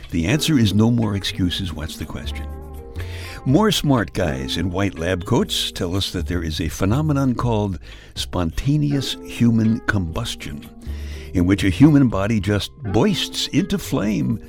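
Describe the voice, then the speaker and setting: A man's voice: fairly low pitch, a little slow, gravelly, faint music behind. A man with a Brooklyn accent giving a wry, scripted comic monologue, chuckling at his own jokes. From Brooklyn, New York. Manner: wry deadpan storytelling with chuckles. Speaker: radio humor host.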